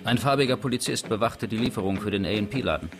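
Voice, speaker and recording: An actor playing a defensive, street-tough murder suspect, plain-spoken and defiant, in a radio crime play. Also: male voice, fairly low pitch, fast talker, creaky, music in the background. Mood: wary.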